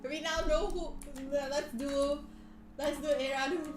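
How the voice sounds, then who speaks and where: Female streamer, very high voice, playful and teasing, loose chatty delivery over background music. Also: steady tone, a little slow. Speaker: livestream host. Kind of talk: casual live stream chat, teasing viewers. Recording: echoey room, music behind voice. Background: soft background music.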